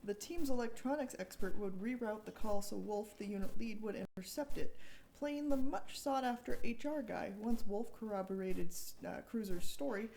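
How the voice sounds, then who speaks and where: A woman reads narrative prose from an action thriller aloud. Her pitch is mid-range, and her delivery is dramatic but informal, like an author sharing her own work. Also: normal speed, gravelly, dark tone, slight background noise, low-quality recording. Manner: informal, breaks off to joke and correct slips. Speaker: co-author reading from own book. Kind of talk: dramatic reading of a thriller novel.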